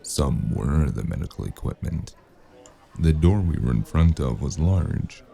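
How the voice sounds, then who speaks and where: Very deep, gravelly noir detective played by a man. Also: unhurried, exaggerated voice. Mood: brooding narration. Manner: laconic, deadpan. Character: weary wounded noir detective, gruff, dry-witted, narrating his case. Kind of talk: noir audio drama narration and dialogue.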